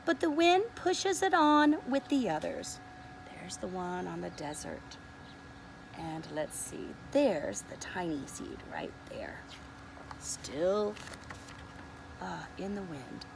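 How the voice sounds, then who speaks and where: A woman preschool teacher reading a picture book aloud to young children in an expressive, deliberate way, with softer asides inviting them to look. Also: fairly high pitch, slight background noise. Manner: expressive, deliberate, often pausing to ask the listener to look.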